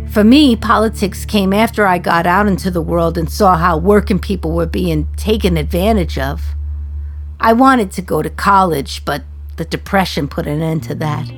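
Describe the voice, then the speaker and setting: An actress playing a proud, passionate working-class communist prisoner in a radio play monologue, talking in a colloquial, emphatic, storytelling way. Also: female voice, medium pitch, close to an everyday voice.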